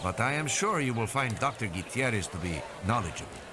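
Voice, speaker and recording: A man voicing a careful, formal male hospital doctor with a measured, precise manner. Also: medium pitch, animated, noisy background, natural voice.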